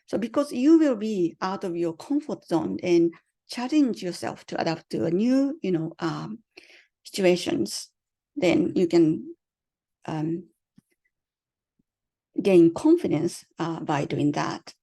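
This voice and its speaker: A woman's voice: on the low side, expressive, slow pace, vocal fry, pauses often, mellow. A woman presenting to an online audience on a video call, hesitant with many fillers, often asking the audience questions.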